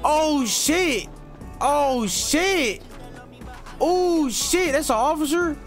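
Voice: high-pitched, animated, very slow, music in the background. A brash, trash-talking gamer roleplaying a street gangster in profane slang. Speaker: video game streamer.

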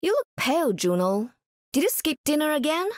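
An actress playing a kind, upbeat young scientist who talks like a caring aunt, with a gentle lilting manner. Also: female voice, high voice, very animated, moderate pace, dry recording, studio quality. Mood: caring, chiding.

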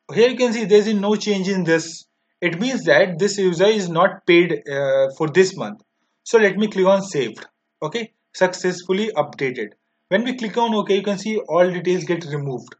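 A man in his twenties with an Indian accent, narrating a step-by-step software tutorial in a halting, repetitive way. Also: fairly high pitch. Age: 20s. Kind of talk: step-by-step screen demo narration. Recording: dull lo-fi microphone sound.

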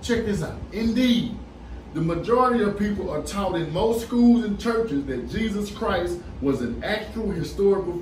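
Middle-aged man, American accent, high-pitched, urging podcast co-host, echoey studio with faint noise. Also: distant mic. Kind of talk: reading aloud from a book with commentary. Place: small podcast studio, indoors. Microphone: boom-arm studio microphone with headphones.

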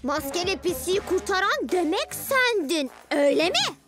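A woman's voice: extremely high pitch, sing-song, normal speed. A woman voicing a scheming young boy ninja villain in a children's cartoon, boastful and commanding. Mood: menacing. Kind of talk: Turkish-dubbed children's cartoon acting. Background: music.

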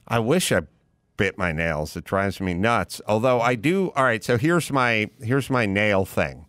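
An American man in his fifties hosts a podcast in comic, rambling rants. His pitch swings in a lively way as he acts out quotes, and the close studio mic keeps the sound very dry. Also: unhurried, no echo. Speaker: podcast host. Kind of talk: comedic rambling talk show banter. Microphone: close studio mic with headphones.

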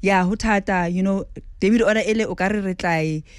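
Woman, forties, animated radio guest, studio mic, dry close sound. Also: medium pitch, fast talker, clear, resonant tone, no echo. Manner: animated, mixes Setswana into English, acts out others' words. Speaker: restaurant owner, guest. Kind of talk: casual radio interview. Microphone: studio boom mic.

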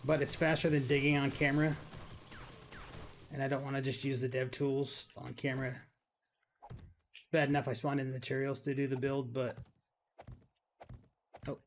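A man with a medium-pitched voice talks through a game build in an offhand way. He rambles and thinks out loud, grumbling a little as he goes. Some noise sits behind his voice. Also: flat delivery, normal speed, pauses often. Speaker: gaming video creator. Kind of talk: casual game build commentary.

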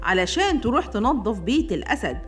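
A woman's voice: fairly high pitch, big pitch swings, quick pace. Woman, Egyptian Arabic accent, children's storyteller, scripted narration, music underneath.

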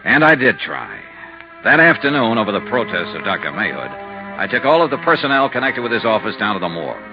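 An actor playing a hard-boiled, persistent insurance investigator in a 1950s radio drama, brisk, dry and insistent. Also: male voice, fairly low pitch, big pitch swings, over loud music. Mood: dry narrating. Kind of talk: 1950s radio drama acting and first-person narration. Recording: noisy, lo-fi 1950s radio recording.

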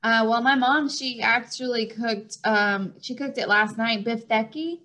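Young woman, light texan drawl, fairly high voice, friendly, giggly, video call. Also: measured pace, bright, forceful tone. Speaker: guest, fitness coach. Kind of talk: casual interview chat. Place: room at home by a window.

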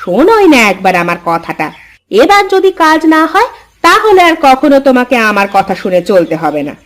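A woman's voice: sits high, huge pitch swings, moderate pace. A woman voicing a scheming village wife in a cartoon, with a coaxing, insistent manner. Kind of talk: cartoon dialogue acting.

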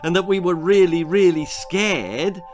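A cheeky, mischievous young boy, acted out by a grown man, pitched high and full of eager insolence. He is an excitable little fibber from a spooky, funny storybook, with music behind him. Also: a little slow. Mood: eager, urgent. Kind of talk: children's horror-comedy storybook reading.

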